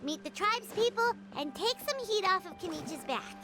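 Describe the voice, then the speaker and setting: A woman's voice: extremely high pitch, expressive, normal speed. A woman voicing a tiny floating female companion who is chirpy, blunt and teasing, in a video game dub. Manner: bubbly, bouncy, teasing. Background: game music.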